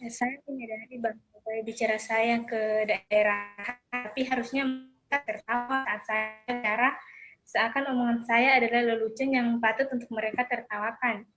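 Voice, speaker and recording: A young woman playing a counseling client in a role-play, speaking hesitantly with soft fillers over an online call. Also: fairly high pitch, fairly even intonation, moderate pace, soft background music. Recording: dull lo-fi online call audio.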